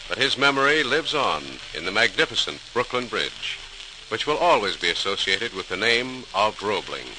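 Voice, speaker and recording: A man narrating a scripted 1940s radio program in a solemn, formal, dramatic manner. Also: medium pitch. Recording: noisy, crackly 1940s radio transcription.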